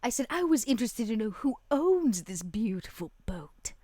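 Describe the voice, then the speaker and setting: A woman playing a flirtatious, sly vampire widow who speaks with coy, flattering sweetness in a tabletop game. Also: a little high, moderate pace, dry recording. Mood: coy flattery.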